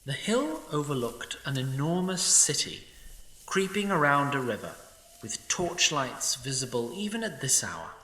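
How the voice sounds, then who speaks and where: A man's voice: mid-range voice, sing-song, a little slow, sharp tone. A man voicing a snarky, timeless male sprite who narrates an audio drama with opinionated asides. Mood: wry. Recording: roomy echo.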